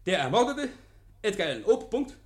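An actor playing a nervous, over-eager murder suspect in a 1950s radio play, effusive, stammering and repeating himself. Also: male voice, high voice, very animated, a little fast. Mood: manically cheerful.

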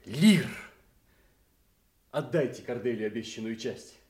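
Guy playing a bargaining duke, very lively pitch, stagey, echoey radio drama. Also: measured pace, natural voice. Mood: insistent. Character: bargaining, mercenary duke seeking a dowry. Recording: echoey studio radio play.

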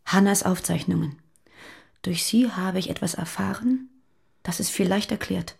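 A woman playing a fearful, guarded former militant on the run in a naturalistic radio drama, confiding and halting. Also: medium pitch, gravelly, darkish tone, slightly rough, exaggerated voice. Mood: hushed, afraid.

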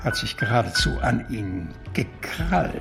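An urbane, evasive gentleman in a radio drama. His mid-pitched voice swings expressively and has a creaky edge, and he talks in mannered, eloquent sentences. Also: big pitch swings, a little slow, over music. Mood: confiding. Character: urbane, talkative male confidant, genteel and evasive. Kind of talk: German radio drama acting.

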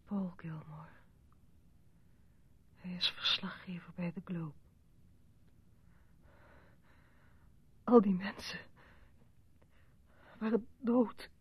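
A woman acting in a radio drama as a reporter's wife, with a breathy, husky voice. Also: fairly low pitch, slow pace, long pauses, no echo, full character voice. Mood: dazed, whispering. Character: journalist's wife, shaken witness and exasperated holidaymaker. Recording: dull, muffled radio play recording.

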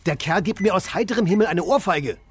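An actor playing an aimless city drifter in a radio play, talking and musing in short, dry phrases. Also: male voice, sits high, animated, quick pace, slightly rough, no echo. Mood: indignant. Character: aimless, plain-spoken male city drifter. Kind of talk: radio play dialogue and first-person narration.

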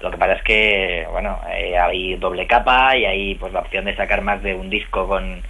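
A man on a podcast panel about video games, talking in quick bursts with restarts over a phone line. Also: deep voice, little pitch movement, sharp tone. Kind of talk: casual podcast chat.

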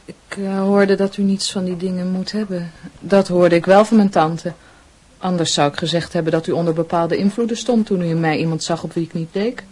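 Radio play: serious young female medium, knowing, cool, slightly breathy-rough. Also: lowish voice, fairly even intonation, brisk, a little mellow, low-quality recording. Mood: mysterious, pointed. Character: serious, enigmatic young woman, nurse and psychic medium. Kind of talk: radio drama acting in Dutch.

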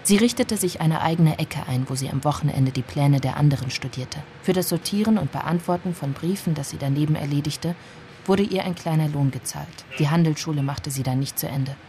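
An actress playing a melancholy narrator in a literary radio drama, telling of childhood friends in a measured, restrained way. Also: female voice, deep voice, dark tone, slight background noise. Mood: matter-of-fact. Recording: music under the voice. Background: music.

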